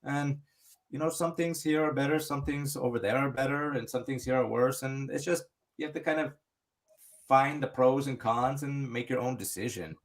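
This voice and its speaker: A man's voice: medium pitch, normal speed. Man, casual podcast storyteller, loose and rambling, lots of restarts. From United States. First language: English. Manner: rambling, many restarts and "you know". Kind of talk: casual chat.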